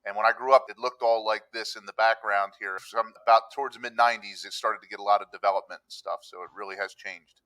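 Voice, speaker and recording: A man's voice: on the low side, steady tone, fast, sharp tone, poor audio. A middle-aged man from Pennsylvania, a guest on a podcast, talking easily and telling stories of his work and past, slipping into other people's voices when he quotes them. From Pennsylvania, USA. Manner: easygoing storytelling, acts out quoted voices. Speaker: public adjuster, podcast guest. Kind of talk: conversational interview. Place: room with a countryside backdrop.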